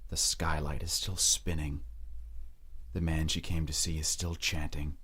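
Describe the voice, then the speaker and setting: Very deep, husky male narrator. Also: flat delivery, unhurried, a bit bright. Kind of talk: dramatic scripted narration in present tense.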